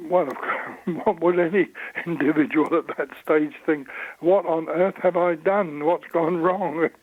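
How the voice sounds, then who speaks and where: A man in his eighties with an English accent, recounting memories in an interview in a hesitant, measured, understated way. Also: a little high, steady tone, no room sound, phone-quality sound. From Suffolk, England. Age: 80s. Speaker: interviewee, church bell ringer.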